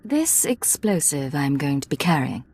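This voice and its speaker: An actress playing a cool, professional Imperial secret agent in video game dialogue. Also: female voice, low voice, very animated, normal speed, a little mellow, husky, exaggerated voice. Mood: cool, inquiring.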